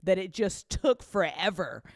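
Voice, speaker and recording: A woman's voice: lowish voice, animated, a little slow, a little mellow. A woman hosting a casual live chat as a show creator, talking in a rambling, giggly, informal way.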